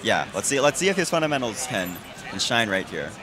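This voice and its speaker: A man's voice: lowish voice, very animated, a little fast. A man giving live, conversational fighting game commentary, repeating phrases as he works out his thought. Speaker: esports commentator. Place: convention center esports event. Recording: busy event hall crowd behind.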